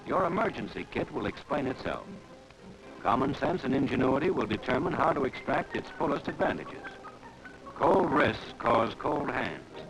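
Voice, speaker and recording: A man's voice: medium pitch, big pitch swings, normal speed, vocal fry, low-quality recording. A man reading formal, instructive scripted narration on a 1940s training film soundtrack. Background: music.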